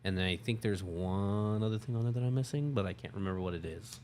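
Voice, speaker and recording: Very low-voiced man, casual talk, background noise. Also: fast, a little mellow. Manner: informal, explanatory. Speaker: co-host, security company lieutenant. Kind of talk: casual unscripted work talk show. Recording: clear background noise, room ambience.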